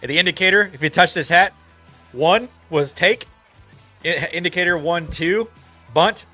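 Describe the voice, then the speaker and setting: A man with a sharp, bright voice talks sports emphatically, leaning on plenty of "like"s as he goes. Faint music plays under him. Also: a little high, moderate pace. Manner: emphatic, filler-heavy with many "like"s. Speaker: co-host. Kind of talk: casual sports chat.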